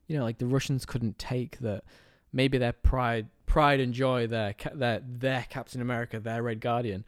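A man co-hosting a casual film review podcast, enthusiastic and quick to laugh, often restarting his sentences. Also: mid-range voice, steady tone, dry recording, clean studio sound. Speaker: podcast co-host.

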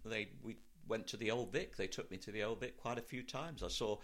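A man's voice: on the low side, brisk, fairly bright tone. An older man with a British Received Pronunciation accent, telling anecdotes from his life in a conversational interview. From Wales, later London. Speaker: interview guest, actor.

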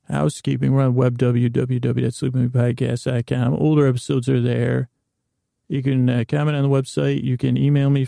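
A man hosting a bedtime podcast with a rambling, halting, goofy improvised monologue that trails off mid-sentence. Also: mid-range voice, creaky, warm tone, no room sound, studio quality. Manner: goofy, whimsical. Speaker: podcast host.

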